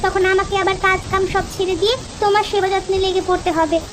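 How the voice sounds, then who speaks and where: A woman's voice: very high-pitched, level tone, fast talker, background noise. A woman voicing a bossy but caring village housewife in a children's cartoon, fussing in a homely way. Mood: nagging, caring. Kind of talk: children's moral-story cartoon dubbing.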